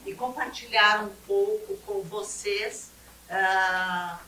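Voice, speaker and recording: A woman, giving a measured panel presentation as an engineer. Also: medium pitch, fairly even intonation, sharp tone, slight background noise, rough recording. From Rio Grande do Sul, Brazil. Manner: measured, slide-by-slide presenting with frequent "né?". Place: event hall, seated at a panel table.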